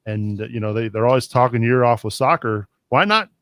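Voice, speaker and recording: A man's voice: mid-range voice, a little fast, dry recording, studio quality. A man hosting a soccer podcast, chatting casually and thanking guests as he wraps up. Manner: relaxed, wraps up segments with thanks. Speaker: podcast host. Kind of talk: casual chat.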